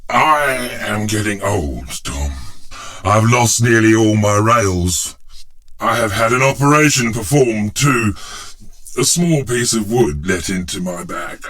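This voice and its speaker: A man playing a very old, pompous, cantankerous gentleman, really an enchanted chair, in an audio drama with emphatic old-fashioned speech. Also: fairly low pitch, expressive, slow, exaggerated voice. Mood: solemn, confiding. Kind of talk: theatrical audio drama dialogue.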